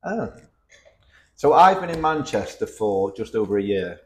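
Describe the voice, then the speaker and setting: A man's voice: lowish voice, big pitch swings, a little slow. A man from Cheshire with a North West English accent, hosting a casual podcast chat with teasing banter and lots of tag questions. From Cheshire, England. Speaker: podcast host.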